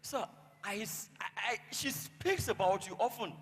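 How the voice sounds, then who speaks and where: A man's voice: sits high, expressive, moderate pace, a bit bright. A man acting a nervous, loyal servant in a stage play, stammering and deferential. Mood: earnest, deferential. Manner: stammering, repeats words, deferential. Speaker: stage actor. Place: theatre stage in a hall. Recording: reverberant hall.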